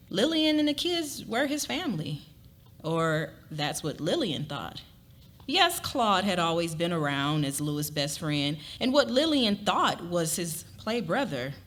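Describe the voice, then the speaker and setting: A woman's voice: mid-range voice, a bit bright. A woman narrating a scripted story as a dramatic reading.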